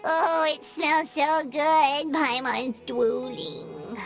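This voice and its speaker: A woman voicing a small, childlike, excitable fairy companion in an anime fantasy game. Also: high-pitched, extremely slow, faint music behind, exaggerated voice. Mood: eager, gleeful. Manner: refers to self in third person, exclamatory.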